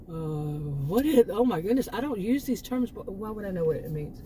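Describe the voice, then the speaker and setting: A woman's voice: mid-range voice, animated, normal speed, dark tone, a little hiss or hum. A woman from Texas with a Texan accent, talking casually to her channel and rambling through restarts and asides. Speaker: channel host. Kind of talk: casual monologue.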